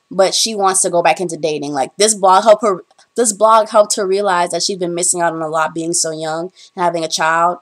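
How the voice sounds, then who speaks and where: Young female vlogger, lowish voice, chatty and rambling, fillers throughout. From Bahamas. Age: young adult. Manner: chatty, rambling storytelling with frequent ums. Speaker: vlogger, college student. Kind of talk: casual chat to camera.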